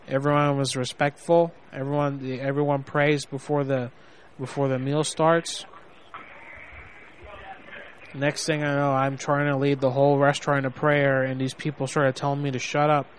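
A man's voice: medium pitch, level tone, moderate pace, a little hiss or hum, lightly acted. A man playing an indignant caller who poses as an army veteran in a prank phone call. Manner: indignant, blustering, self-righteous. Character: angry man posing as a boastful army veteran.

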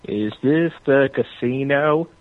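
Dude prank calling, casual goading questions, average pitch, tinny phone sound. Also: male voice, takes it slowly, no room sound. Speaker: prank caller. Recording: tinny, narrow phone-like line.

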